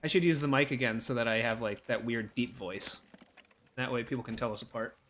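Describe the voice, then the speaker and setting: A man's voice: medium pitch, fairly quick, a bit bright, a little hiss or hum. A young man, chatting casually while playing a video game, hesitant and full of restarts. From Ohio, USA. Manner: rambling, hesitant, many fillers and restarts. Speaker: co-host. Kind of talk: casual gameplay chat.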